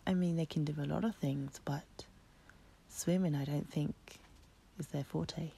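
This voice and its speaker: A woman reading a children's picture book aloud in expressive, deliberate, dramatic phrases. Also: low-pitched, creaky, slight background noise. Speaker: children's story reader. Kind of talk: expressive storybook narration.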